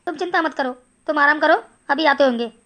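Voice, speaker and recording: A woman voicing an eager, respectful villager in an animated Hindi story, chatty and deferential. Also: sits high, fast. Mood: soothing.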